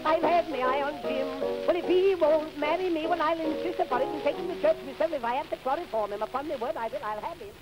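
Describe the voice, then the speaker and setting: A woman's voice: sits high, fast talker, hardly any pauses. A woman performing a brassy comic music hall song in an old archive recording.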